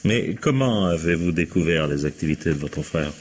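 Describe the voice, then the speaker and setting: A shrewd police inspector with a very low voice. He probes with mock courtesy and gruff impatience, as in a radio mystery play. The old recording has clear background noise. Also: lively intonation, normal speed. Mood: polite, probing. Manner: probing, ironic, mock-polite.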